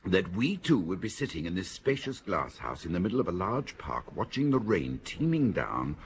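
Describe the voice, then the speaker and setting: An actor playing a dry-witted, grumbling older writer in a radio drama, with clipped questions. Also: male voice, sits low, sing-song, moderate pace, a little hiss or hum. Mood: dry, grudging.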